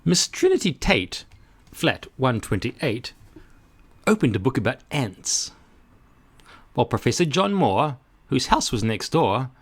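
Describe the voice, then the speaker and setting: A man reading a rhyming children's story aloud in an expressive, rhythmic, dramatic way. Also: mid-range voice, measured pace, long pauses, breathy edge.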